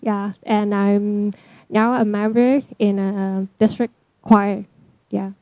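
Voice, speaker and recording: A woman's voice: mid-range voice, flat delivery, slow pace, warm tone, slight background noise. A woman from Hong Kong introducing herself at a workshop in a cheerful way. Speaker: workshop participant.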